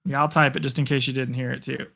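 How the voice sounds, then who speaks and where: A young man calling play-by-play for a live stream, excitable and chatty, answering chat, his voice getting worn. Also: medium pitch, fast, vocal fry. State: losing his voice. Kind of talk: casual live play-by-play commentary.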